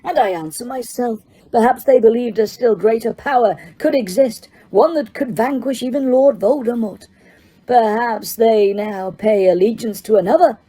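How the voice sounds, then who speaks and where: A chilling male dark lord, as a woman reader puts him on, speaking slowly in a quiet, mellow voice raised above her own. He hisses out his threats with cold, unhurried menace. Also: on the high side, voice pushed up, lightly acted. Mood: brooding.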